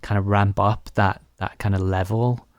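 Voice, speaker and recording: A man's voice: lowish voice, fairly even intonation, moderate pace, dry recording, studio quality. A man hosting a podcast interview, asking casual questions in a curious, attentive manner.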